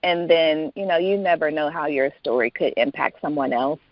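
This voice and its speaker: A woman's voice: deep voice, normal speed, no room sound. A woman speaking as an interview guest and author, chatting casually in a reflective, conversational way. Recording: dull lo-fi sound.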